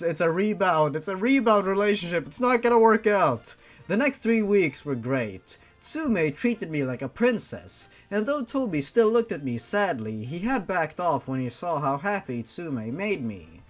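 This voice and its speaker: Young male reader, fairly high pitched and animated, mocking and swearing between lines. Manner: sarcastic, swears freely, breaks off to mock the text. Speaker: channel host reading fan fiction. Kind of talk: story reading with sarcastic commentary.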